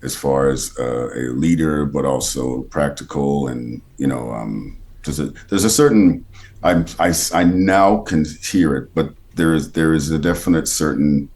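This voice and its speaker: A man with an extremely low voice and a rich, bass-heavy texture talks casually as an interview guest. He stops and restarts often, trailing off quieter mid-thought. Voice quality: deep, textured timbre with underlying bass. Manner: halting, many restarts and fillers, drops quieter mid-thought. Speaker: actor and voice actor guest.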